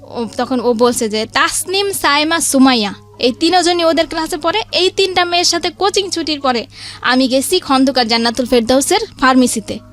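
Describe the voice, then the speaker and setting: A woman's voice: sits high, moderate pace, a bit bright, soft background music. A woman with a Bangladeshi accent giving a spontaneous personal account as a guest, emphatic, often repeating and quoting others. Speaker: guest recounting a family incident.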